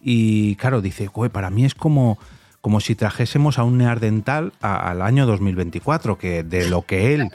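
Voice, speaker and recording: A man's voice: fairly low pitch, normal speed, darkish tone, no room sound, soft background music. A man with an accent from Spain hosts a casual interview, commenting at length in a conversational way. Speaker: podcast host interviewing a guest.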